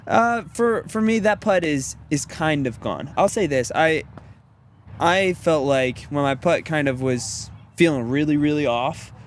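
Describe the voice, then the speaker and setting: A man's voice: on the high side, animated, a little slow, slight background noise. A man in his early twenties from Louisiana, chatting easily in an interview and rambling with lots of "you know what I mean.". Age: 20s. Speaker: disc golf pro, interview guest. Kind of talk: casual interview chat.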